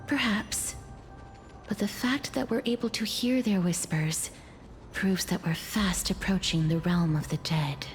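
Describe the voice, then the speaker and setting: A woman playing a gentle, solemn, thoughtful young woman in a video game, soft and breathy. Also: mid-range voice, unhurried, faint music behind, close to an everyday voice. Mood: pensive.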